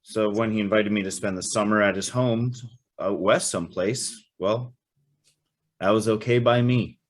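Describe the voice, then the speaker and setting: A man's voice: fairly low pitch, steady tone, moderate pace, close to an everyday voice. A man playing an eager, naive young prep school student with a folksy, chatty manner in an old-time radio drama. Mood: earnest, chatty. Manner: folksy, chatty, hesitant narrating and exclaiming.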